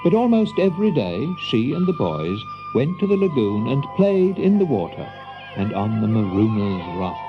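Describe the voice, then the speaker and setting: A man's voice: mid-range voice, very animated, normal speed, highs cut off. A man narrating a children's story in a dramatic storybook style. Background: music.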